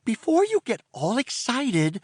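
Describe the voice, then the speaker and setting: A man's voice: very high voice, wildly swooping pitch, a little slow, darkish tone, breathy edge, no room sound, studio quality, pitched above the performer's own voice, heavily acted. A man voicing a young girlish tooth fairy who sounds anxious and pleading.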